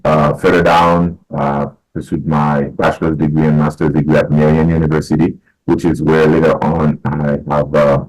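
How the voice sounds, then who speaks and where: Man, middle-aged, from Haiti, very low voice, unhurried, halting speech with fillers. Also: darkish tone, rough recording. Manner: measured, many fillers and restarts. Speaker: podcast guest, educator. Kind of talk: casual interview talk.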